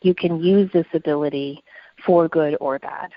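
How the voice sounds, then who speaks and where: A woman's voice: deep voice, steady tone, moderate pace, lo-fi sound, a little hiss or hum. A woman co-hosting a conversational podcast, speaking in an earnest, opinionated way. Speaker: podcast co-host.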